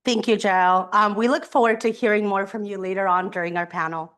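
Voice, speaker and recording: A woman's voice: mid-range voice, fairly even intonation, fairly quick, a bit bright. A woman moderator opening a panel, earnest and partly scripted, with small restarts. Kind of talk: event moderation.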